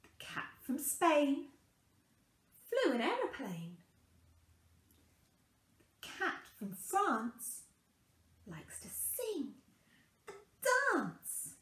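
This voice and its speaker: A young woman reading a children's picture book aloud in a deliberate, sing-song way with dramatic pauses, recorded in a small room at home. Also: high voice, huge pitch swings, fairly bright tone, echoey room. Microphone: camera a couple of metres away.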